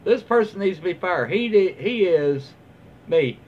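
A middle-aged man reads stories aloud casually, like a home video host. His voice sits a little high for a man, and his delivery is informal and halting. The recording is somewhat dull, with faint background noise. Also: animated. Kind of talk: casual read-aloud with off-the-cuff comments. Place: small room at home, indoors, seated in armchair.

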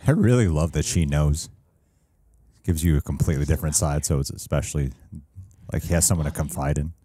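Deep-voiced man reacting to a game. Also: fairly quick, husky, dry recording. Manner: relaxed, joking. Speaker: reaction host. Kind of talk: casual reaction commentary. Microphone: headset mic. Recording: game music playing behind.

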